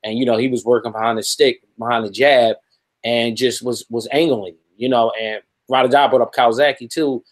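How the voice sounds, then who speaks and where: Conversational man, mid-pitched, talking boxing. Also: steady tone, normal speed. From Philadelphia. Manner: conversational, colloquial, often checks in with "you know". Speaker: boxing show panelist. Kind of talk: casual sports commentary.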